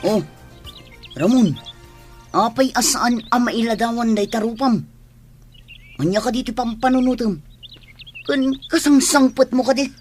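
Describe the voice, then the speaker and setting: A woman's voice: on the high side, measured pace, pauses often, a little mellow, soft background music, natural voice. A woman with an Ilocano accent acting a watchful, probing companion of a fugitive in a radio drama. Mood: curious, concerned. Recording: faint noise, birdsong effects.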